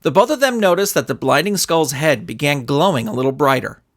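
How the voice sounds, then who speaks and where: A man's voice: a little high, lively intonation, a little fast, vocal fry. A man narrating a scripted story as an audiobook reader. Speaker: narrator and author.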